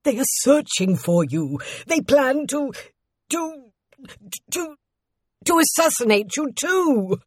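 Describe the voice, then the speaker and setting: A woman's voice: low voice, a little slow, warm tone, slightly rough, no room sound, studio quality. A woman voicing a loyal male servant to a queen in a storybook, formal, earnest and emphatic. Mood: panicked. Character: loyal male royal servant, deferential then panicked and defiant.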